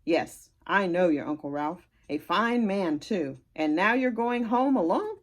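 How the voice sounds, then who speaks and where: A woman's voice: on the low side, animated, measured pace. A woman voicing a hearty, kindly older English sea captain in a storybook reading. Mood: hearty, friendly.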